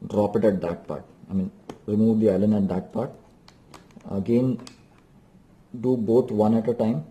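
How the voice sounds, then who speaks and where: A man's voice: fairly low pitch, steady tone, measured pace, mellow, muffled recording. A man giving an unscripted hands-on tutorial, explaining step by step and repeating instructions for emphasis. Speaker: DIY vlogger.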